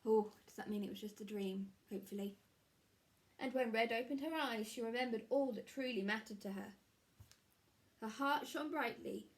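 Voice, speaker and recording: A woman in her thirties, a primary teacher reading a story aloud to children in a measured, explanatory way, recorded in a small room. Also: medium pitch. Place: small room at home, indoors.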